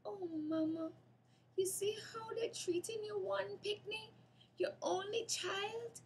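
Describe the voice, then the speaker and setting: A woman's voice: very high voice, fairly even intonation, slow pace, a little hiss or hum, voice pushed up, heavily acted. A Jamaican woman voicing a heartbroken young orphan girl from a storybook, plaintively wailing to her mother. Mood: grieving, pleading.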